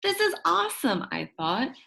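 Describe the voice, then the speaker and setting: A woman reading a children's book as a shy, quirky, upbeat school kid, chummy and expressive with dramatic emphasis. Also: high voice, moderate pace, gravelly, voice pushed up, heavily acted. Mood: excited. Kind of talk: first-person children's book narration.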